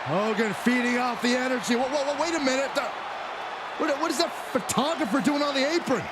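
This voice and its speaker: A man calling a wrestling match as a commentator in an excited sports style. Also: extremely high pitch, fairly quick. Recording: loud arena crowd noise. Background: roaring wrestling arena crowd.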